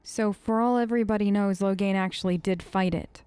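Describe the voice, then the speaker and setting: A woman gamer role-playing her character's dialogue off the cuff in a hesitant, halting, conversational way. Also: mid-range voice, fairly even intonation, warm tone, smooth, dry recording. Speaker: gaming let's player. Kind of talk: improvised in-character role-play of game dialogue.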